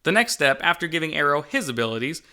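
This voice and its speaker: A man's voice: a little high, very animated, fast talker, bright, forceful tone. A man hosting as a game developer, giving upbeat scripted video narration with an enthusiastic, jokey manner. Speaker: game developer and channel host.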